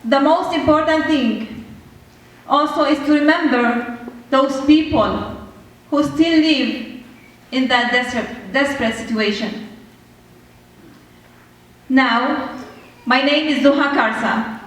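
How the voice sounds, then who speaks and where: A woman from Syria giving an earnest prepared speech about being a refugee, speaking slowly and with measured care. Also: sits high. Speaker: speaker sharing refugee story. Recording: echoey hall from far off.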